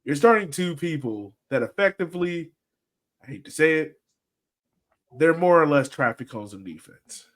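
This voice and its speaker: A man's voice: on the high side, expressive, normal speed, fairly bright tone. Rambling casual man talking sports. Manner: informal, rambling, thinks aloud. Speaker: sports podcast host. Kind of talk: casual soccer fan chat and analysis.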